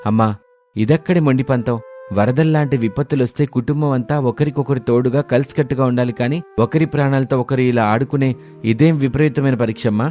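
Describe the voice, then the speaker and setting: A man's voice: mid-range voice, steady tone, fast talker, mellow, pitched above the performer's own voice, close to an everyday voice. A man voicing a kindly, concerned family man in a cartoon moral story, earnest and caring. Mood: reproachful, concerned. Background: background music.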